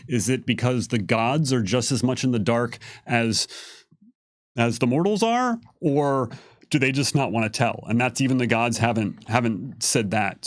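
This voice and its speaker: Man, livestream panelist, casual explainer, emphatic phrasing, medium pitch, very little room sound. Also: dry recording, studio quality. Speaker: game lore panelist on a livestream.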